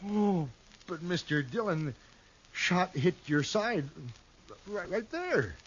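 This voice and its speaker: An actor playing a timid, frightened young outlaw who stammers and pleads, in a 1950s radio drama. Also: male voice, sits high, animated, takes it slowly, breathy edge, heavily acted, poor audio. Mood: shaken. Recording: old radio broadcast with hiss.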